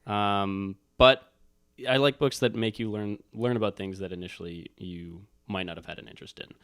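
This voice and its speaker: A man's voice: fairly low pitch, steady tone, moderate pace, a bit bright, clear, resonant tone, no echo, clean studio sound. A man co-hosting a casual, unscripted book chat, rambling as he thinks aloud. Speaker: book talk co-host.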